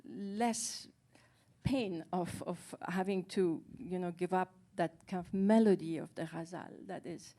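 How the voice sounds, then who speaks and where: A woman co-translator who is a native Farsi speaker, talking on a panel, hesitant and pausing often to search for words. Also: on the low side, mellow. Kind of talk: casual panel talk.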